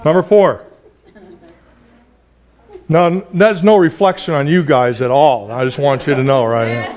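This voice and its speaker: A man in his forties preaching a sermon in a small church, chatty and lively, laughing often and restarting his phrases. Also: a little high, measured pace, lo-fi sound. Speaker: pastor.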